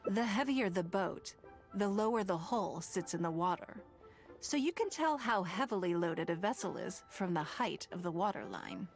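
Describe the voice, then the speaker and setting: An actor, a woman, playing a poised, gracious and shrewd noblewoman in a video game, composed and measured. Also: mid-range voice, expressive, soft background music, natural voice. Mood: gently instructive.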